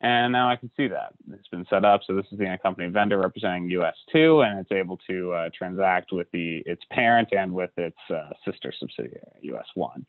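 A man giving a relaxed screen-recorded software walkthrough, with lots of uh and you know. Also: low-pitched, quick pace, hardly any pauses, no echo. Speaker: software instructor. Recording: dry tinny lo-fi audio.

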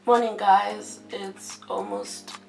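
A woman's voice: medium pitch, very animated, takes it slowly, vocal fry, a little mellow, soft background music. Chatty young woman vlogger. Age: young adult. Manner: chatty, giggly, mixes in isiXhosa words. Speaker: vlogger, university student. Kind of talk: casual vlog chat to camera. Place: student bedroom and outdoors. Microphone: camera held at arm's length. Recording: noisy handheld camera audio.

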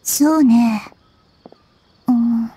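A woman voicing a small, wise, gentle childlike goddess in an anime game, speaking in a measured, thoughtful way. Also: on the high side, husky, exaggerated voice. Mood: thoughtful, troubled. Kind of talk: Japanese anime game voice acting. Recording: studio voice over game music.

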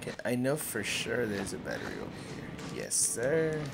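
A man's voice: fairly low pitch, huge pitch swings, moderate pace, vocal fry, very noisy. A young man doing casual gameplay commentary, slangy and foul-mouthed, often repeating himself. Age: young adult. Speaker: gamer, streamer. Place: small room indoors at home. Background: loud game audio and music.

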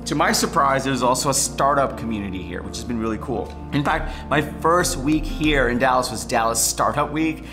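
An enthusiastic man in his thirties chats casually to camera in a living-room studio. His lively, quick delivery stays upbeat throughout. Plucked guitar music sits clearly behind his voice. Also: mid-range voice. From Bangladesh, raised in New York. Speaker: vlogger, weekly channel host. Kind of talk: casual vlog update to camera.

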